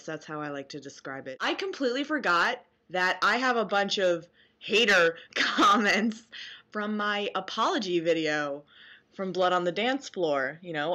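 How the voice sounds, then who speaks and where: A woman in her twenties with a bright, forceful voice and an unhurried pace. She moves between casual sarcastic commentary and exaggerated, mock-dramatic reading, speaking into a webcam indoors. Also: on the low side. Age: 20s. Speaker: vlogger. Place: small dim bedroom, indoors.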